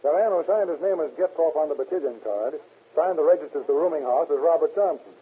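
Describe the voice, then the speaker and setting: Quick, precise police expert. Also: male voice, fairly high pitch, expressive, husky. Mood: businesslike. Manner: precise, deferential. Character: dutiful police handwriting expert reporting to his captain. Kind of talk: 1930s radio crime drama acting. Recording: muffled old radio recording, narrow band.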